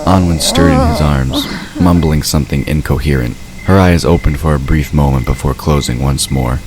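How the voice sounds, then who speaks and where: A man's voice: rumbling low, moderate pace, a little mellow. A man narrating a scripted story as the narrator of an audio drama. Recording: clear background ambient noise. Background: ambient sound effects.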